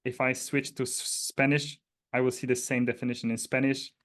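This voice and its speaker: A man's voice: mid-range voice, little pitch movement, normal speed, fairly bright tone. A man from Poland with a Polish accent, giving an informal technical walkthrough in a hesitant, explanatory way. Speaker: presenter demonstrating a data dictionary.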